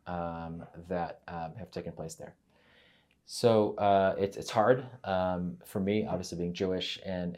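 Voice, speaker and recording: A man's voice: sits low, a little mellow. A middle-aged man, a native Hebrew speaker from Israel, talking casually as an interview guest with many fillers and restarts.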